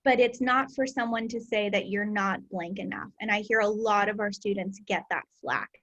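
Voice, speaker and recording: Female host and educator, mid-pitched, earnest and emphatic, reflective delivery. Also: fairly even intonation, normal speed, a bit bright, dull audio. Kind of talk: casual discussion.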